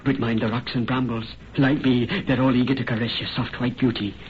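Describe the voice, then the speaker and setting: An actor playing an ardent, pleading young Irish farmer's son in florid old-time radio melodrama. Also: male voice, medium pitch, flat delivery, fairly quick, lightly acted. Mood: impassioned, uneasy. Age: young adult. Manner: impassioned, florid. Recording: muffled, noisy vintage radio recording.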